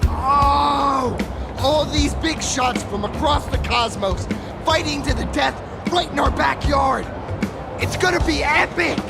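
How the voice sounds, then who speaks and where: Hyped up gravelly high-voiced dude in a game, drawn out words, loud music. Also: male voice, slow, exaggerated voice. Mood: thrilled. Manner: hyped, exclamatory. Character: excitable thrill-seeking male onlooker. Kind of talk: video game voice acting.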